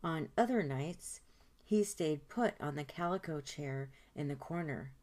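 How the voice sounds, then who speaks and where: A woman narrating a children's picture book aloud in an expressive storytime style, stretching words for emphasis. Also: low voice, unhurried. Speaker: storytime host reading aloud.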